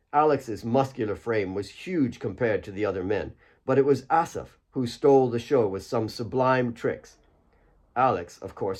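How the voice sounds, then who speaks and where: Guy reading out a novel, audiobook narration, medium pitch voice. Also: a little mellow. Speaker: author reading own audiobook. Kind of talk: scripted narration, audiobook storytelling.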